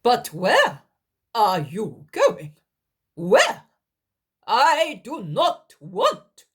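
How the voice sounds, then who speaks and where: A woman's voice: lowish voice, animated, drawn-out words, a little mellow, full character voice. A woman doing a harsh, domineering villain. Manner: commanding, scornful. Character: harsh, domineering older man, furious villain.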